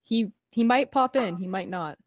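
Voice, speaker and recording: A woman's voice: mid-range voice, normal speed, no room sound, studio quality. A young Canadian woman co-hosting a podcast, friendly and talkative. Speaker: podcast co-host. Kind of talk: casual podcast chat.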